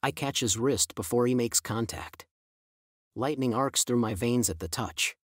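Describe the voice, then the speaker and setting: A man reading a first-person audiobook in a measured, brooding storytelling manner. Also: medium pitch, no room sound, studio quality. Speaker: audiobook narrator. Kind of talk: scripted first-person narration.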